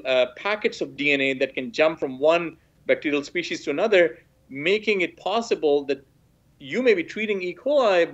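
Fairly high-voiced man from Pakistan, forties, guest expert on a dull webcam line, careful and hedging. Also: a bit bright. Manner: measured, hedging with "sort of", frequent restarts. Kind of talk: explanatory interview talk. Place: small room at home, indoors. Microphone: laptop webcam video call. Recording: dull lo-fi video call audio.

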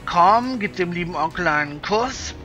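A man playing a brutish, mocking pirate thug in a fantasy audio drama, with a drawn-out, taunting sneer. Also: sits high, expressive, sharp tone, soft background music. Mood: leering, gloating. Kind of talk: fan-made fantasy audio drama acting.

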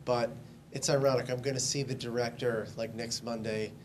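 Male guitarist guest, 30s, easygoing halting speech. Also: mid-range voice, steady tone, a little hiss or hum. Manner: casual, halting with restarts. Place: studio stage with blue backdrop. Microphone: handheld mic.

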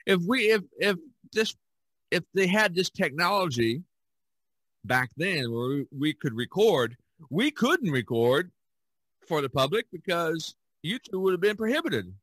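A man's voice: a little high, big pitch swings, measured pace, fairly bright tone, slightly rough, no echo. An older man hosting a casual podcast chat, rambling and often repeating his phrases. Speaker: podcast host.

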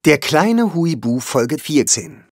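A man's voice: on the high side, very animated, takes it slowly, breathy edge, dry recording, studio quality. A man narrating a scripted children's audio play. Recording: music under the voice. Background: music.

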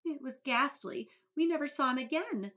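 A woman's voice: sits high, animated, moderate pace, fairly bright tone, pitched above the performer's own voice. A woman voicing a dignified, sorrowful female spider who speaks plaintively with sighs. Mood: mournful. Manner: sighing, plaintive. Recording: dull lo-fi audio.

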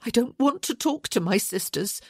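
A woman's voice: a little high, animated, measured pace, mellow, breathy edge, dry recording, clean studio sound, pitched above the performer's own voice. A woman reading a storybook, voicing a hurt, angry young woman who speaks in an accusing, pleading way. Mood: tense, impassioned.

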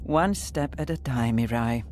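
A woman playing a reserved scientist in a video game, speaking in a measured, understated way. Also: extremely low pitch, darkish tone, a little hiss or hum. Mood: reserved, friendly. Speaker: research colleague. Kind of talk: naturalistic video game voice acting.

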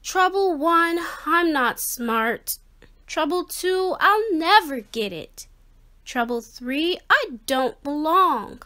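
Woman voicing a high-pitched, gloomy little boy fish. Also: animated, slow, pushed and bright, heavily acted. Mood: dejected. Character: discouraged young male fish schoolboy, self-doubting.

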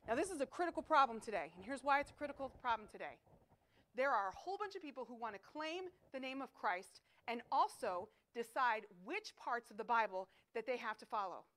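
A middle-aged woman preaching a chapel sermon to students in an auditorium, emphatic and animated, asking rhetorical questions, picked up by a distant camera. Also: fairly high pitch, a little fast. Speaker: chapel speaker. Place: school chapel auditorium with stage.

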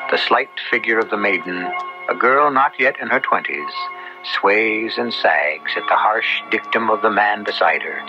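A man hosting and narrating a radio drama in a grave, deliberate, scripted style. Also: lowish voice. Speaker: host and narrator. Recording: dull old radio recording. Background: music.

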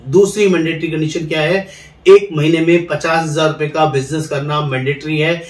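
A man's voice: a little high, fairly even intonation, normal speed. Persuasive man, echoey distant room sound. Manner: persuasive, salesy, addresses viewers as friends. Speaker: insurance agent recruiter, channel host. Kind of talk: direct-to-camera promotional explainer. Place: indoor studio, seated in office chair. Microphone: lapel mic. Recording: roomy echo, somewhat distant mic.

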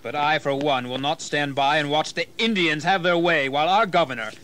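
A proud colonial planter with rebellion in him. His voice is bright, forceful and a little high, and he speaks formally, like an orator in a history radio drama, over faint noise. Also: fairly quick. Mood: rousing. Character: bold, ambitious rebel colonial planter rallying men. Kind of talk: dramatized history audio acting.

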